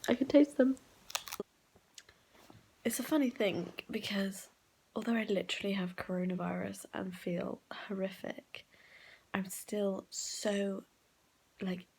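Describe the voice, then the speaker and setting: A young woman vlogging at home while sick and congested, rambling in a low, half-whispered voice. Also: fairly even intonation, a little slow, vocal fry, pauses often, darkish tone, breathy edge, rough recording. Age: young adult. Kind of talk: casual diary vlog. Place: flat, indoors.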